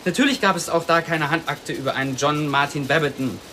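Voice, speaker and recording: An actress playing an analytical, matter-of-fact police investigator in a radio drama, explaining things deliberately. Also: female voice, deep voice, a bit bright, a little hiss or hum. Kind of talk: radio crime drama dialogue.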